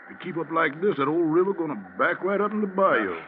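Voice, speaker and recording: An actor playing a gloomy, deferential plantation servant with a rambling, rustic way of talking, in a 1940s radio drama. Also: male voice, fairly high pitch, expressive, fast talker, natural voice. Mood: foreboding, deferential. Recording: tinny, noisy 1940s radio recording.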